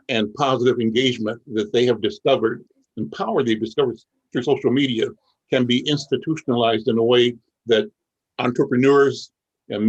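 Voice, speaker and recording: An older man hosting an interview, speaking in a measured, reflective way with frequent pauses. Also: mid-range voice, muffled recording. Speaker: interviewer, show host.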